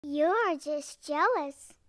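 Storybook little girl, played by a woman: high, childlike, unhurried, calm and polite but firm. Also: full character voice. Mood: earnest.

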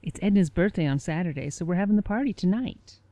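An older small-town woman played by an actress speaks in a low voice with sourness just under the surface. She is dry and gossipy, a radio play office assistant recorded with very little room sound. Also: expressive, quick pace, warm tone, no room sound. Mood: dryly chatty.

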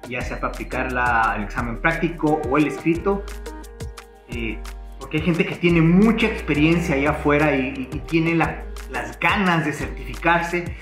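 Guy explaining barber exam stuff at home, kinda high voice, echoey room, faint music. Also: fairly bright tone. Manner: earnest, hesitant with many fillers and restarts. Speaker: barber instructor. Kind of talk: casual explanatory talk to camera. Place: living room at home, indoors.

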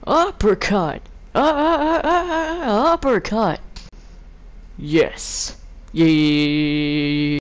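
A woman's voice: medium pitch, expressive, extremely slow, long pauses. A woman doing a playful, improvised voice-over of game animations in short, exclamatory catchphrases. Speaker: gaming video creator.

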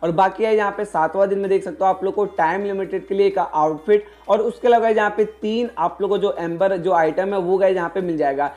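A man's voice: high-pitched, fast talker, few pauses, a little mellow. A man doing a casual explainer voice-over as a gaming host, repeatedly addressing his viewers. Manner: repetitive, addresses viewers constantly as guys.

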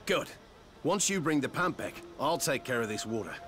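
A man's voice: medium pitch, expressive, moderate pace, music in the background, natural voice. An actor playing a practical, wry male survivor engineer in video game cutscene dialogue.

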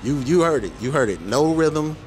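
A man's voice: fairly high pitch, animated, normal speed, music in the background, rough recording. A man hosting a vlog, chatting casually in a laid-back, joking, slangy way. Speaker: vlog host. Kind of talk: casual chat.

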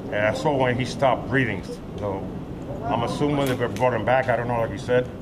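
A man's voice: mid-range voice, normal speed. An older man, a witness telling what he saw in a hesitant street interview outdoors. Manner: plain, hesitant retelling with fillers. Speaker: eyewitness. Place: outdoor covered sidewalk by a street. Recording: street noise behind.

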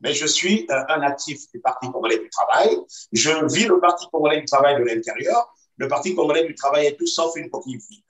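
A man defending his party in a video-call debate, measured and full of hesitations. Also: fairly high pitch, expressive. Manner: measured, hesitant with many fillers, insistent. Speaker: party activist guest. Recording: roomy echo, distant from mic.

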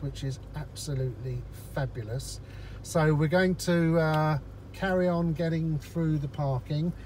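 A man's voice: medium pitch, a little mellow. Chatty man narrating from a car. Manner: chatty, explains each step to viewers. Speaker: vlogger. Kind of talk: casual narration while driving. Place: inside a car in traffic. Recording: in-car recording with road noise.

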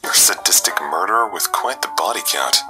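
Man acting a very deep, smug skeleton reaper. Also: animated, brisk. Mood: smug, teasing. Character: sly, sadistic skeleton grim reaper, teasingly smug. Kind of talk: comic dub voice acting. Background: loud music.

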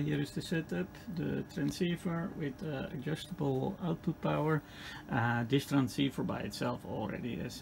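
Male hobbyist demonstrator, Dutch accent, heavy vocal fry, hesitant off-the-cuff delivery, noisy recording. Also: medium pitch. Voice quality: gravelly. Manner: halting, hesitant phrasing with repeated words. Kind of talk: unscripted equipment demonstration. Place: indoor electronics workbench. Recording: clearly noisy room recording.

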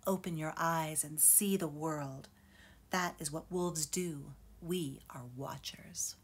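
A woman voicing gentle wise Uncle Wolf. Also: low voice, steady tone, husky, heavily acted. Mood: calm, hushed. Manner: slow, hushed, often whispering.

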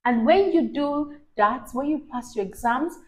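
A young woman reading a story aloud to a child in an expressive, encouraging, teacherly way, often prompting with questions. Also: sits high, normal speed. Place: small indoor room on a sofa. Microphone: lapel mic.

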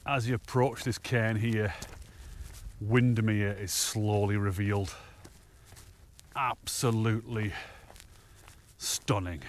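A man's voice: on the low side, fairly even intonation, takes it slowly, long pauses, slight background noise. A man, chatting cheerfully to camera while hiking on an open fell top, often laughing. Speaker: hiking vlogger. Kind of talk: casual walking commentary to camera.

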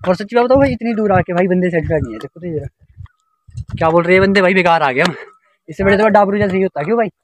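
Young male travel vlogger, chatty and casual, filmed outdoors on a phone with clear road and wind noise. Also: high voice, quick pace. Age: young adult. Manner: chatty, calls viewers brother constantly. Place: outdoors on mountain roads and trails. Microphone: phone or helmet camera.

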